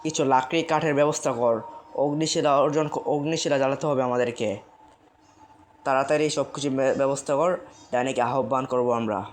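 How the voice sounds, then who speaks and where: Someone doing a bossy cartoon exorcist guy, scolding n commanding, medium pitched voice. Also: steady tone, brisk, a little hiss or hum, lightly acted. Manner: commanding, insulting, chanting. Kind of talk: amateur cartoon voice acting.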